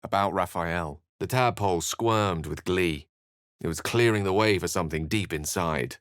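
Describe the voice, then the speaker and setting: An actor playing an erudite, courteous wizard in a fantasy video game, speaking in an eloquent, formal and dramatic way, with wry and melancholy shades. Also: male voice, sits low, normal speed, clear, resonant tone, no echo, studio quality, close to an everyday voice. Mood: reflective. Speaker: video game companion character. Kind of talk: theatrical fantasy game dialogue and narration.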